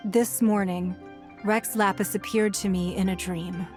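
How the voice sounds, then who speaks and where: An actress playing a poised, shrewd businesswoman who leads a fantasy city, speaking in a measured, formal, diplomatic way in a game. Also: mid-range voice, steady tone, darkish tone, natural voice. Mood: composed, diplomatic. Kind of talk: scripted fantasy game dialogue. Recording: music under the voice.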